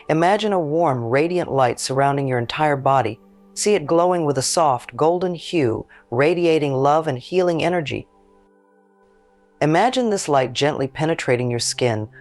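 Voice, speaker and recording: Man guiding a meditation over prominent music. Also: medium pitch. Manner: gentle, soothing, measured instruction. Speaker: meditation guide. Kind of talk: scripted guided meditation narration.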